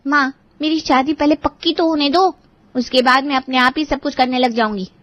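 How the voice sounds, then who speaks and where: A woman voicing a lazy, pampered young daughter in an animated family drama, whiny and demanding. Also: high-pitched, normal speed, muffled recording. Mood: whining.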